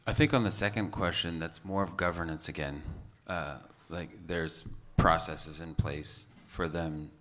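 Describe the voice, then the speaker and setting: A man with a low voice co-presents at a conference. He steps in with brief, matter-of-fact clarifications during the question round. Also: steady tone, a little slow, hardly any pauses, a bit bright. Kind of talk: Q&A after a conference talk.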